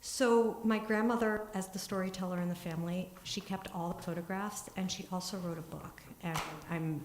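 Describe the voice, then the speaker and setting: A woman giving an earnest, steady talk in a synagogue, then answering questions, with small restarts. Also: fairly low pitch, moderate pace, a little hiss or hum. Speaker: congregant giving a family history talk. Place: synagogue sanctuary. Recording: echoey room.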